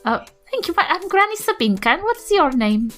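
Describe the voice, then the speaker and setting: Woman playing a swooping, high-voiced doting old granny. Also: very animated, fairly quick, faint music behind. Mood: sweet, curious. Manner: fussing, hesitant, sweetly polite. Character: doting, chatty, kindly old granny adventurer.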